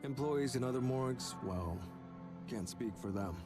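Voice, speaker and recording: A man playing a morbid, unscrupulous morgue worker in a visual novel, talking in terse, deadpan phrases. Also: medium pitch, normal speed, close to an everyday voice. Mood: casual, morbid. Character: morbid, unscrupulous male morgue worker, laid-back admirer of killer. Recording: music behind the voice.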